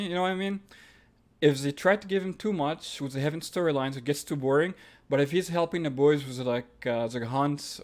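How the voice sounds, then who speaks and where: Egyptian guy answering viewer questions on a stream, reads them out then rambles a bit, mid-pitched voice. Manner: reads questions aloud then answers offhand, with fillers and restarts. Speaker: host of a live Q&A stream.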